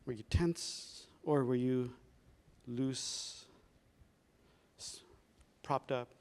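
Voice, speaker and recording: A man, a doctor leading a workshop, guiding a reflection exercise with slow, calm prompting questions. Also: mid-range voice.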